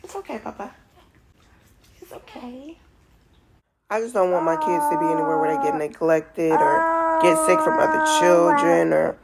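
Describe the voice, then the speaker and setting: A woman around thirty chatting in a casual vlog, rambling and emotional, tearful at times, in a relaxed style. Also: medium pitch, creaky. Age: 30s. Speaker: vlogger.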